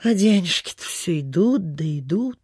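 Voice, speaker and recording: A woman's voice: fairly low pitch, animated, normal speed, darkish tone, husky, no room sound, clean studio sound. An actress playing a superstitious, chatty provincial landlady in a radio play, with sighing, gossipy, old-fashioned folksy speech. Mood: chatty, grateful. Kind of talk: Soviet radio theatre acting.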